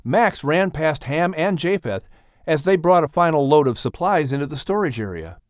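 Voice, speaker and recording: A man narrating a children's audiobook in an expressive, scripted storytelling style. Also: on the high side, normal speed, dry recording, clean studio sound.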